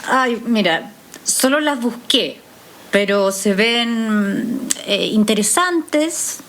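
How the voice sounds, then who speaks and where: A woman's voice: a little high, expressive, drawn-out words, a bit bright, slight background noise, full character voice. An actress playing a polite, slightly guarded young professional woman in an audio drama. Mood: hesitant, teasing. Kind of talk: naturalistic audio drama acting.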